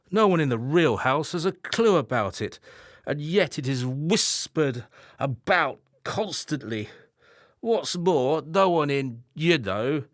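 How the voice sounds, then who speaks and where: A man's voice: on the high side, a bit bright, no room sound, clean studio sound, heavily acted. A man playing an anxious, hard-drinking, henpecked husband in a radio play, wry and theatrical.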